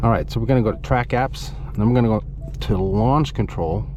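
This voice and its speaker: A man's voice: lowish voice, a little fast. Chatty Swedish-born man over engine noise. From Sweden. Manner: enthusiastic, chatty, loose filler words. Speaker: car vlogger. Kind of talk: casual driving commentary. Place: inside a moving car. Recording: loud engine and car noise. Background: engine and exhaust noise.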